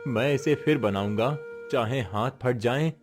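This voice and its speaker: A man's voice: medium pitch, measured pace, music in the background, lightly acted. A man acting a poor, weary but resolute village father, earnest and comforting, in a cartoon moral story. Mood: solemn, reassuring.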